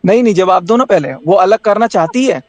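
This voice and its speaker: A man with a notably high voice argues in a heated group call. He repeats himself insistently and cuts across others. He slips between Hindi and English, and the sound is dry and close. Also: lively intonation, a little fast, a little mellow, no room sound. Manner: switches between Hindi and English mid-speech. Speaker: panel participant at the centre of the dispute.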